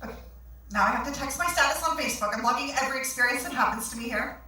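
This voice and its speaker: A woman's voice: sits high, very fast, sharp tone. A woman in a staged play reading, playing a vain, self-absorbed young model with a bubbly, blunt manner. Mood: vain, chirpy. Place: small theatre, staged reading. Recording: echoey theatre stage recorded from far off.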